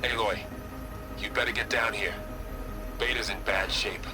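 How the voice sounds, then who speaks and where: A man's voice: lowish voice, steady tone, unhurried, lightly acted. Man playing young male companion, easygoing, supportive, natural game dialogue, music behind. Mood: uneasy. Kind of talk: video game voice acting.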